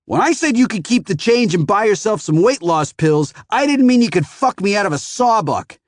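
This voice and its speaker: A man's voice: high-pitched, expressive, normal speed, no room sound, clean studio sound, voice pushed up. A man doing a sneering, foul-mouthed bully. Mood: enraged. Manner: sneering, abusive shouting. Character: rude, foul-mouthed adult man in cowboy boots.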